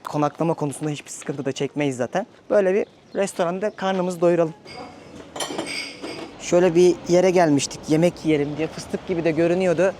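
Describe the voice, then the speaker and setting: A man's voice: on the high side, a little fast, mellow. A man hosting a casual travel vlog, talking informally and directly to viewers. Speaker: travel vlogger, host. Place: outdoors and on the road. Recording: noisy outdoor audio with traffic ambience.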